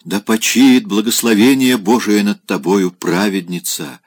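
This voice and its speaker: A man's voice: lowish voice, a little slow, no room sound, clean studio sound. A man voicing a drunken, pompous old clerk who wails in churchy old-fashioned phrases. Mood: declaiming. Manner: churchy archaic phrasing, wailing.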